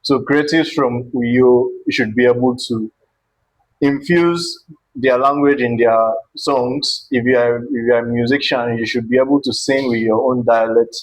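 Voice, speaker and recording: Male guest, Nigerian accent, average pitch, earnest conversational explainer. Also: fairly even intonation, measured pace, low-quality recording. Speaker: guest, multidisciplinary artist. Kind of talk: conversational interview.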